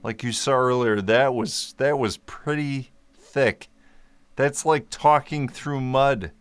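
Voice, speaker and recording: A man's voice: medium pitch, slow pace, smooth, dry recording. A man vlogging to camera in a casual, easygoing way, explaining a topic and correcting himself as he goes, speaking into a microphone. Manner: easygoing, self-correcting, joking aside. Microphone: microphone in front of him.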